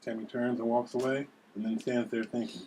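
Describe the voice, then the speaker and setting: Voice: medium pitch, level tone, brisk, a little mellow, husky, rough recording. A narrator reading scene headings and stage directions from a script at a table read.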